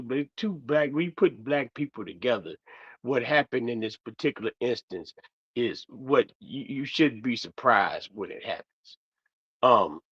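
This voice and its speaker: A man's voice: mid-range voice, fairly bright tone, lo-fi sound. A man in his thirties who is losing his voice gives a rambling, casual commentary as a show host, often trailing off mid-sentence.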